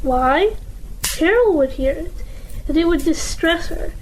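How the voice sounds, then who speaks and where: A woman playing a devoted, gentle, pious mother with tender, earnest delivery in a period audio drama. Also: extremely high pitch, expressive, measured pace, a little hiss or hum. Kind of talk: family audio drama acting.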